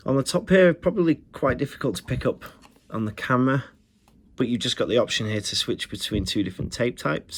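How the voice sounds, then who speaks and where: A man with a fairly low voice presents a casual, hands-on review. He talks like a host to his viewers, chatty and keen on the little details. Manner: chatty, enthusiastic about details. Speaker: retro electronics review host.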